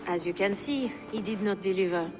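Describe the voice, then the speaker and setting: A woman's voice: mid-range voice, a little fast, lightly acted. An actress playing a poised, bitter noblewoman in a video game, speaking in arch, dry, elegant phrases. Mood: brisk, scheming. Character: poised, bitter Frankish noblewoman, unhappy wife. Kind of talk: video game cutscene acting. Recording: long hall reverb, prominent music.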